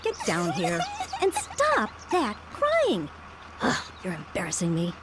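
A woman's voice: medium pitch, huge pitch swings, slow pace, slight background noise. A woman playing a cruel, impatient mother in a radio drama, snapping and belittling. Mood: scolding, impatient. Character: cruel, scolding, impatient mother of a young girl.

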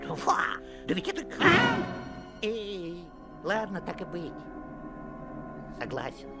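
Creaky, shifty hooded schemer. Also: male voice, sits high, wildly swooping pitch, slow, loud music behind the voice, full character voice. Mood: pleading. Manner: shifty, stammering, wheedling. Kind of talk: animated film acting.

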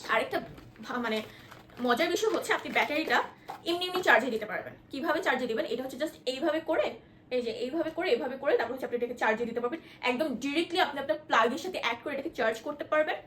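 A woman with a Bangladeshi accent and a high voice gives an informal product demonstration. She explains in a halting way and drops in English words. The recording is echoey, with some background noise. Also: fairly bright tone. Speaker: product presenter.

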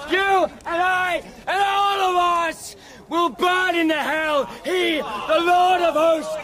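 An actor playing a distraught, half-mad curate who rants and repeats himself in a radio drama. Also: male voice, very high voice. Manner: ranting, declamatory, repeating phrases.

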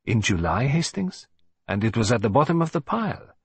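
A man's voice: medium pitch, big pitch swings, fairly quick, slightly rough, no echo, heavily acted. A man voicing a fussy, vain middle-aged male detective who speaks in a precise, theatrical, self-assured way. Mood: composed.

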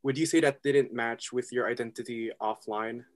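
A man with a mid-range voice hosts the podcast, putting calm and direct questions to his guest. He speaks into a studio microphone in a room at home. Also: medium pitch, moderate pace. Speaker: podcast host. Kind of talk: interview questions.

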